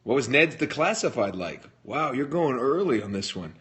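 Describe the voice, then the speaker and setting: A man's voice: mid-range voice, sing-song, moderate pace, fairly bright tone, husky. A middle-aged man hosting a casual live stream, chatty and jokey, reading fan comments aloud and answering them. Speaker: livestream host answering fan questions. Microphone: phone livestream.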